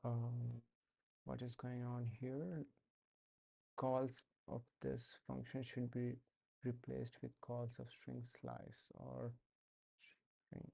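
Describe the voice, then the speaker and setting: A man's voice: medium pitch, level tone, slow pace, vocal fry, pauses often, dark tone. A young man with an Indian accent chatting casually while coding on a live stream, hesitant with many fillers and restarts. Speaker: streamer learning to code.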